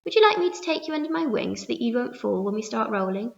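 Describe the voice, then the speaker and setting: A woman voicing a kind, gentle female ladybird in a storybook reading. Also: a little high, lively intonation, fast talker, gravelly, muffled recording. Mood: reassuring. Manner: gentle, motherly.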